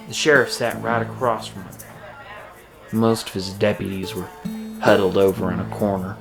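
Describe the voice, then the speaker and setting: A man playing an observant police officer, telling his story in a halting, confiding first-person audio drama narration. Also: on the low side. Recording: music playing behind the voice. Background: music.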